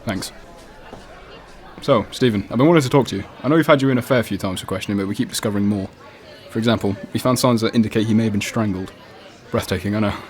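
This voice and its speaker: Man acting a fast-talking British police detective. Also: lowish voice, natural voice. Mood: breezy, probing. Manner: polite, insistent questioning. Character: probing, casual but persistent male police detective. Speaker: actor in a radio play. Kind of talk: student radio drama acting. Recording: cafe crowd chatter behind.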